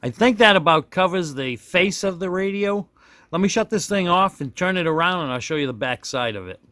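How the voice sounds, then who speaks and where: A man's voice: high-pitched, lively intonation, fairly quick, sharp tone, dry recording. Folksy man giving a casual, dry-sounding demo. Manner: folksy, self-deprecating, rambling with mid-thought corrections. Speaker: product reviewer demonstrating a radio. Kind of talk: casual hands-on demonstration, unscripted.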